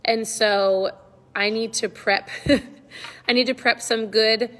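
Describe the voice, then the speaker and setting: Slightly hoarse woman in a reverberant room. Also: a little high, steady tone, slow pace, a bit bright. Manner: upbeat, encouraging, conversational. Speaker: online coach hosting a live check-in. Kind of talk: casual livestream chat to viewers.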